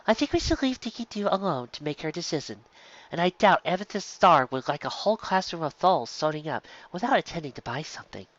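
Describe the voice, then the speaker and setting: A kindly mare schoolteacher from a storybook, voiced by a reader, gentle and patient as she advises. Her pitch moves up and down expressively, and the recording is dry and slightly dull. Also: animated, a little fast, no echo, close to an everyday voice. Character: kindly, patient adult female pony schoolteacher.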